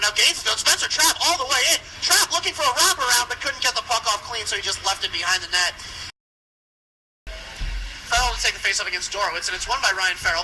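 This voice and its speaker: Male radio hockey caller, high register, brisk live delivery, noisy rink broadcast. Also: pushed and bright. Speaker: ice hockey play-by-play commentator. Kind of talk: live radio sports commentary.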